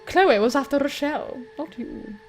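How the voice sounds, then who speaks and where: A woman acts out a scornful teenage girl from a visual novel, high in pitch and swinging widely as she taunts. She is sarcastic, accusing and foul-mouthed, with music playing behind her. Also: lively intonation, moderate pace, a little mellow. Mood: bitter, mocking. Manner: sneering, sarcastic, swearing. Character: scornful, accusing teenage girl's inner self, taunting cruelly.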